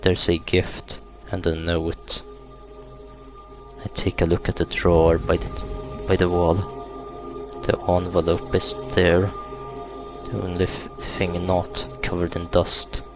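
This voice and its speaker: A man reading a horror visual novel aloud in a subdued, unhurried way, as a gaming YouTuber narrating a playthrough. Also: deep voice, completely flat delivery. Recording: music behind the voice. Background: game music.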